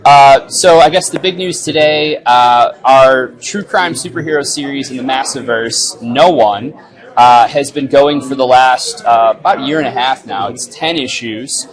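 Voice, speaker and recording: A man's voice: mid-range voice, moderate pace, poor audio. A man who is a comics creator, explaining a project in a casual interview as a guest. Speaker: comics writer and film director, interview guest. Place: live at a comics convention.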